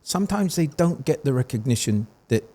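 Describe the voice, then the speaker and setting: Mellow-voiced emphatic male host. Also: medium pitch, animated, fairly quick. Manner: emphatic and encouraging. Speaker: podcast host. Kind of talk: conversational interview.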